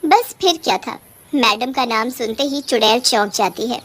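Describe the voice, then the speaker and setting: Female storyteller with a high voice, scripted narration for cartoon spooky tales. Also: animated, normal speed.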